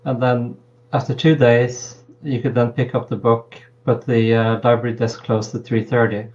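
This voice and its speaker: A middle-aged man from Norway, a political science professor, answering interview questions in a measured, hesitant way with many pauses. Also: mid-range voice, level tone, a little mellow, muffled recording, slight background noise. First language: Norwegian. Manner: measured, hesitant with frequent ums.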